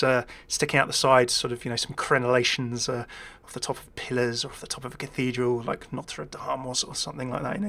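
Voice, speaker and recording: A man's voice: medium pitch, vocal fry, sharp tone, breathy edge. Male gaming video host, mid-range voice, casual and unscripted, hesitant thinking-aloud delivery with wry asides.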